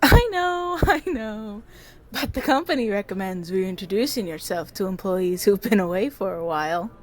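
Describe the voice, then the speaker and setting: A woman playing a friendly, caring security guard coworker in an audio drama, with a gently teasing manner. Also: mid-range voice, lively intonation, moderate pace, natural voice.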